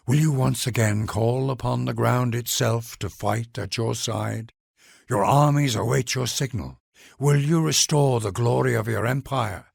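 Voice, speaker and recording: Solemn gravelly man narrating grandly. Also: medium pitch, no echo, studio quality. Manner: solemn, grandiose, formal address to a ruler. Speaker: narrator of game leader introductions. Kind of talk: scripted dramatic narration in a video game.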